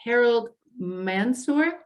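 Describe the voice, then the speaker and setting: A woman's voice: a little high, expressive, slow, highs cut off. A woman acting an earnest, protective social worker in readers theater, speaking carefully and insistently. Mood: concerned. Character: earnest, protective female elder-care social worker, cautious.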